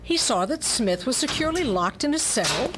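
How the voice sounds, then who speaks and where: A woman's voice: fairly high pitch, very animated, moderate pace, noisy background. A woman delivering dramatic scripted narration for a pulp detective story, as in radio theatre.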